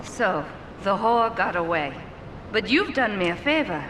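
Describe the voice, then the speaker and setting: A woman's voice: mid-range voice, big pitch swings, measured pace, fairly bright tone, reverberant, big-room sound, slight background noise, rough recording. A woman voicing a cold, sadistic female Yakuza boss who speaks in an icy, commanding way. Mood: menacing.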